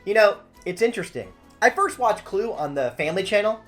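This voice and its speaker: A woman's voice: medium pitch, sing-song, brisk, faint music behind. A woman with a Canadian accent hosting a scripted film video essay, talking playfully and directly to the viewer. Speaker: video host and film commentator. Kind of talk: scripted video essay narration with chatty asides.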